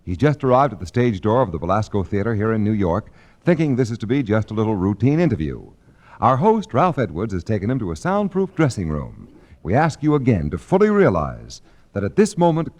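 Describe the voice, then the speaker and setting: A man's voice: on the low side, a little mellow. A man announcing a scripted 1940s radio show in a formal, dramatic showman's style. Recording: old 1940s radio transcription with music.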